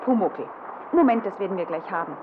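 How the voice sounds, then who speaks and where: An actress playing a brisk, helpful young woman at a ship's information desk in a children's radio play. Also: mid-range voice, lively intonation, narrow, tinny audio, close to an everyday voice. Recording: thin, noisy old radio play.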